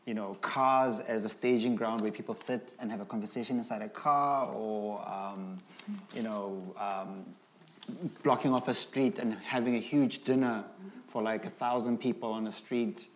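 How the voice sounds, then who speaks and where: A man's voice: on the low side, steady tone, moderate pace. A man on a discussion panel, reasoning aloud with frequent ums and restarts. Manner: hesitant, many ums and restarts while reasoning.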